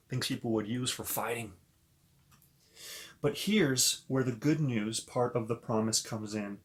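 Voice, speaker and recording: A man with a mid-range voice reads a children's story aloud. He is expressive and speaks straight to young listeners, stepping out of the text to point things out and ask them questions. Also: medium pitch. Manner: expressive, talks directly to children. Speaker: pastor and story reader.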